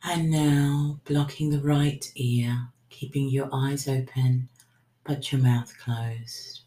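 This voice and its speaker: Woman doing guided breathing meditation, really deep mellow voice, soothing and unhurried, roomy echo. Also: fairly even intonation. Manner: soothing, unhurried, trailing into whispers. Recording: roomy, echoing sound.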